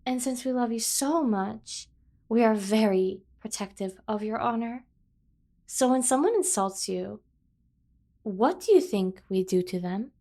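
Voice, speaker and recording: A woman's voice: a little high, lively intonation, measured pace, dark tone. A woman voicing an obsessive, protective yandere sibling in an ASMR roleplay, speaking with earnest, over-the-top devotion. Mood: doting, earnest. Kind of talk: scripted ASMR roleplay addressed to the listener.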